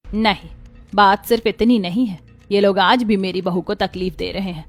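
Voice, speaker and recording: A woman's voice: medium pitch, fairly even intonation, moderate pace, faint music behind, lightly acted. A woman voicing a caring, protective middle-aged mother-in-law in a family cartoon story. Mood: concerned, determined. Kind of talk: Hindi cartoon story acting.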